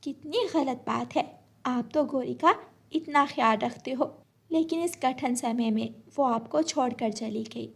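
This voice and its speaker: High-voiced kindly mother sparrow, voiced by a woman. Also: measured pace, vocal fry, breathy edge, exaggerated voice. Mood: sympathetic. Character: kindly, trusting mother sparrow, tender and concerned. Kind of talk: Hindi moral-story cartoon voice acting.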